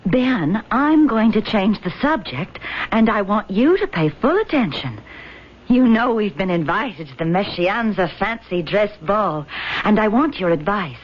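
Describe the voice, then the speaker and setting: An actress playing a spirited, doting and pettish seventeen-year-old fiancée in a radio drama. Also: female voice, mid-range voice, big pitch swings, measured pace. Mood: exasperated, pettish. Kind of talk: 1970s radio drama acting. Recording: dull old radio broadcast recording.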